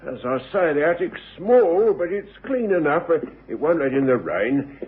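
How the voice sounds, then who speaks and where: An actor playing a kindly, worried older English boarding house keeper who stammers hesitantly in a radio drama. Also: male voice, fairly high pitch, big pitch swings, moderate pace, breathy edge. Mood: friendly, bustling. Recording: tinny old radio broadcast.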